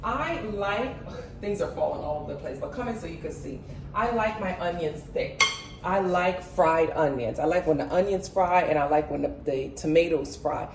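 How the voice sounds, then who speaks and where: A woman's voice: deep voice, animated, normal speed. A woman hosting a cooking video, explaining each step in a casual, chatty way as she cooks in her home kitchen. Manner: chatty, step-by-step, addresses viewers as guys. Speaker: cooking channel host. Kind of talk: casual cooking demo, talking to viewers. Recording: echoey kitchen, mic far off, noisy.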